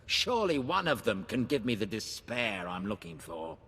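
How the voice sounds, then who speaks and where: Sardonic low-voiced warrior, cavernous reverb. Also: male voice, sing-song, moderate pace. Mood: sardonic, disdainful. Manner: arch, sardonic. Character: haughty, sardonic male warrior craving despair and slaughter. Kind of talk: video game character acting. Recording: long cavernous reverb, music underneath.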